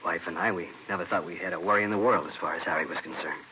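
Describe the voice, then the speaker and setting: An actor playing a dismayed middle-aged father in a 1950s radio drama, speaking in a subdued, halting way. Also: sits low, steady tone, over a noisy crowd, natural voice. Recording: muffled vintage radio recording, faint noise.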